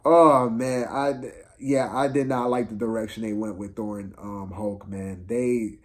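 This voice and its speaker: A man giving a casual, unscripted film review rant, exasperated and slangy, repeating himself for emphasis in a halting way. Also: fairly low pitch, fairly even intonation.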